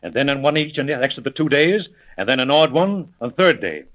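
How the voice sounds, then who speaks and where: A wealthy, anxious gentleman in a 1930s radio serial. He talks in urgent, formal phrases with lively swings of a medium-pitched voice. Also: brisk. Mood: guarded. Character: wealthy, anxious father threatened with death.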